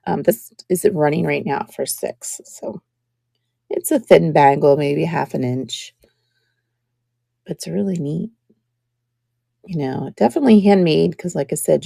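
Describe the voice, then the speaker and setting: Slow, gravelly-voiced woman host. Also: low voice, no room sound, studio quality. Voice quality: scratchy. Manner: slow, chatty, drops to soft whispers while showing pieces. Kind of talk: casual live-stream selling chat.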